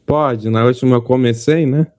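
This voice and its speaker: A man's voice: medium pitch, moderate pace, darkish tone. A man with a Brazilian accent hosting a casual podcast interview. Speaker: podcast host. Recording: music in the background.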